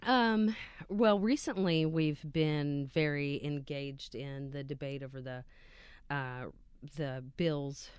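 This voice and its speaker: A woman's voice: deep voice, takes it slowly, clean tone, dry recording, clean studio sound. A woman from West Virginia with a local accent, a guest activist talking in a casual interview, hesitant with many ums, sitting a little off the mic. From West Virginia, USA. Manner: hesitant, many ums and uhs, soft-spoken. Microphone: sits a bit off the studio mic.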